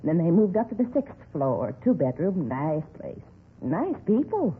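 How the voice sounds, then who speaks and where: An actress playing a gossipy, nosy apartment manager in a 1950s radio drama, chatty and rambling with folksy grammar. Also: female voice, lowish voice, sing-song, normal speed, slightly rough, full character voice. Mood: gossipy, disapproving. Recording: scratchy, tinny 1950s radio recording.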